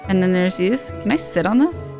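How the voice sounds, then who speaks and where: Female game streamer, casual and playful commentary, average pitch, clear background music. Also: expressive, moderate pace, warm tone.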